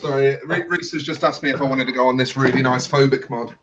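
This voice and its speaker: A man's voice: mid-range voice, steady tone, fast, a bit bright, lo-fi sound, a little hiss or hum. A man chatting casually as a panellist on a talk show. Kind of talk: casual chat.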